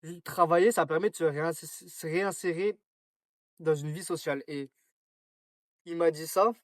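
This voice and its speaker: A man's voice: fairly high pitch, dry recording, clean studio sound. A nineteen-year-old man from France talking casually to camera in a rambling, slangy monologue full of fillers and restarts. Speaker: vlogger.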